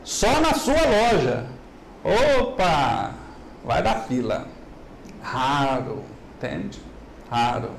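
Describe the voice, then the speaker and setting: A middle-aged man with a Minas Gerais accent giving a lively business talk and asking the audience rhetorical questions. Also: mid-range voice, extremely slow, vocal fry, pauses often, echoey room, slight background noise, rough recording. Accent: Minas Gerais Brazilian Portuguese. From Minas Gerais, Brazil. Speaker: business lecturer. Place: large hall.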